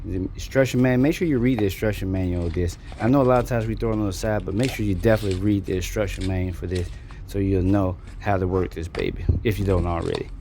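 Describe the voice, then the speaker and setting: A man's voice: deep voice, quick pace, warm tone, slight background noise. A man giving a casual, folksy product walkthrough as a reviewer in an unboxing video.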